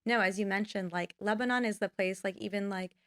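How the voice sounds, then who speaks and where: Young woman guest on a podcast, lowish voice w/ some fry, says like a lot, dry studio sound. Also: fairly even intonation, fairly quick, fairly bright tone, no room sound. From United States. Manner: rambling, many "like" fillers. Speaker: filmmaker guest. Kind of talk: casual interview talk.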